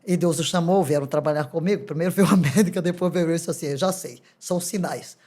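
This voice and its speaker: Older female pastor, Brazilian Portuguese accent, animated storyteller who breaks into laughter and acts out the voices in her stories. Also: lowish voice, fast talker. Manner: animated, laughs often, acts out quoted dialogue. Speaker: pastor, podcast guest. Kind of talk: testimony storytelling.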